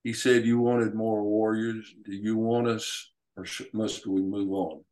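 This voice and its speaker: A man voicing a solemn Apache warrior and father, speaking formally and earnestly in short, deliberate phrases as in an audiobook story. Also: on the low side, flat delivery, a little mellow, lightly acted. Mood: proud, appealing.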